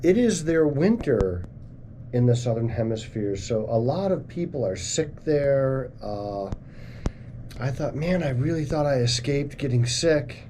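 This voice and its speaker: A man's voice: mid-range voice, expressive, measured pace, mellow, slight background noise. A middle-aged man hosting a finance radio show in chatty, rambling talk, with a slight cold that makes him a little nasal. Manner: chatty, rambling, self-correcting.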